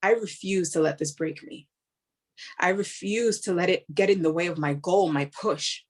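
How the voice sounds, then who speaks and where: A woman's voice: fairly low pitch, moderate pace, close to an everyday voice. An actress playing a driven, weary woman police officer in a staged play reading, earnest and blunt in short emphatic phrases. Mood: determined.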